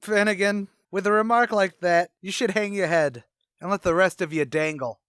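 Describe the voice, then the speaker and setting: An actor playing a wisecracking, scheming and self-important tavern manager in an old-time radio comedy, talking in folksy slang. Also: male voice, high-pitched, moderate pace, fairly bright tone, clean tone, dry recording, clean studio sound. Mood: wry scheming.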